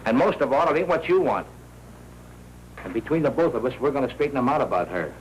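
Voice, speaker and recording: A man acting as a streetwise American newsroom schemer from a 1940s crime picture. His middle-pitched voice swings freely through slangy, needling wisecracks. The old soundtrack carries faint noise. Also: expressive, fast, close to an everyday voice. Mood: insistent, needling. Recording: noisy 1940s film soundtrack.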